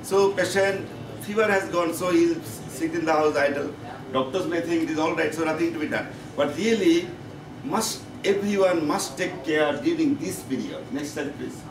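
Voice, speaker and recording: A man with a Bangladeshi accent giving a medical conference lecture as a professor, in halting, repetitive, emphatic phrases. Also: on the high side. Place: conference meeting room. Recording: echoey, noisy room, distant microphone.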